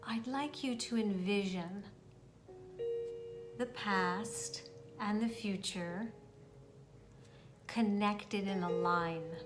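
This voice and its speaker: A woman leading a guided meditation, speaking soothingly and deliberately, with long pauses as she addresses the listener directly. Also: medium pitch. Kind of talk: guided meditation, spoken instruction. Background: meditation music.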